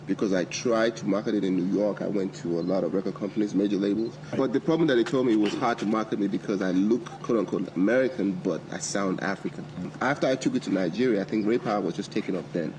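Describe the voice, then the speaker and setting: A male singer being interviewed, quickly telling his story. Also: fairly low pitch. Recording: noisy street audio.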